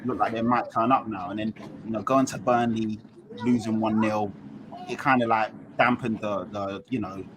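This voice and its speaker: A man's voice: lowish voice, fairly even intonation, moderate pace. London man talking football over background noise. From London, England. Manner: slangy, explanatory, emphatic repetition. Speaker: Tottenham fan, guest on a football show. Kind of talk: casual football chat. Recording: noisy online call.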